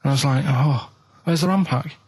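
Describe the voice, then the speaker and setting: A young man, telling a long story on a radio show in halting, rambling sentences full of restarts. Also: mid-range voice, breathy edge. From England. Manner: rambling, halting, plain-spoken anecdotes with many restarts.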